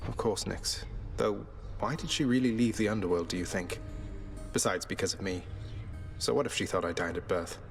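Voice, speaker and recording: An actor playing a wry, rebellious young prince of the Underworld in a video game. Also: male voice, fairly low pitch, moderate pace, vocal fry, faint music behind. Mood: earnest.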